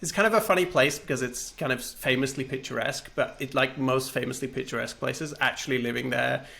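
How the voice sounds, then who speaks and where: A man's voice: medium pitch, fast talker, gravelly, pushed and bright. A man, a game developer on a panel, talking in a thoughtful, hesitant way with many fillers and restarts. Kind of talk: casual panel conversation.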